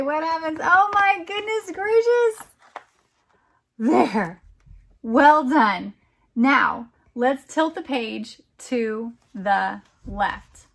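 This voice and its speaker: A woman reading a picture book aloud to a young child as a teacher, with encouraging, exclamatory delivery. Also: high-pitched. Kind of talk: interactive children's storytime read-aloud.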